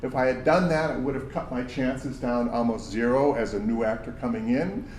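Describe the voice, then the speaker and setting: A man's voice: medium pitch, normal speed, a little mellow. A man running a job search workshop, talking to the group casually with examples from his own past, in a big voice. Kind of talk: workshop talk to job seekers. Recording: roomy audio from far off with faint hum.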